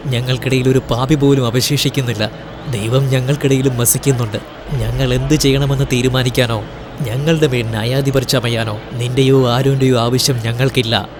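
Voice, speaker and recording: A man's voice: mid-range voice, steady tone, moderate pace, noisy background, close to an everyday voice. Man playing a proud, defiant rebel. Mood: defiant, indignant. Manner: confrontational, proud. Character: defiant, indignant male rebel challenging a leader. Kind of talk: dubbed animated Bible drama.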